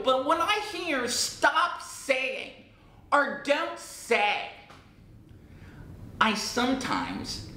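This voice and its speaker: A middle-aged man playing his own chatty, self-doubting cousin, an English learner, talking to camera in a small room. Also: heavily acted. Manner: animated, gestures a lot, repeats and restarts. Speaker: English teacher in character. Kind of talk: playful character monologue to camera. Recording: roomy echo, faint rumble.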